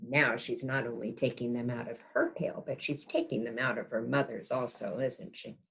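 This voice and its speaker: A woman reading a picture book aloud to young children like a kindergarten teacher, adding explanatory asides and gentle questions to the listeners. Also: very deep voice, level tone, normal speed, gravelly, rough recording.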